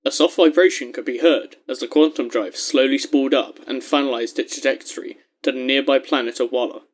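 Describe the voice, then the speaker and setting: A man narrating a scripted adventure story in a measured, descriptive storytelling manner. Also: medium pitch, steady tone.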